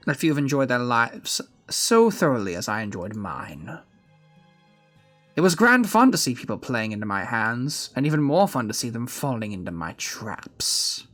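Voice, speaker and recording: A man voicing an aged gentleman villain from a game story, gleefully wicked and sentimental, in a pompous theatrical manner. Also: mid-range voice. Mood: gleeful, smug. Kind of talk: dramatic reading of game story dialogue.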